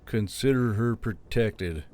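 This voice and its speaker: A man's voice: fairly low pitch, slow pace, a little mellow. A man voicing a weary, grumbly soldier who speaks tersely, for an audiobook drama. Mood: terse, dry. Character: tired, grumbly, gruff soldier called Yul. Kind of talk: audiobook character acting.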